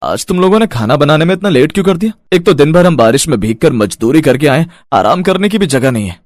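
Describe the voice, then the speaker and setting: An actor playing a gruff, irritable village man with a husky voice, grumbling forcefully in a cartoon story. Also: a little high, animated, quick pace, no room sound, studio quality, close to an everyday voice. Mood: annoyed. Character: gruff, irritable labourer husband in village family. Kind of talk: cartoon moral story dialogue.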